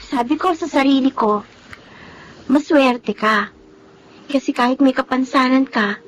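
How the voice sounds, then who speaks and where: A woman with a Filipino accent acting a sensitive adopted younger sister in a radio drama, with a soft, wistful delivery. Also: on the high side, natural voice, rough recording. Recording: dramatic music under the voice.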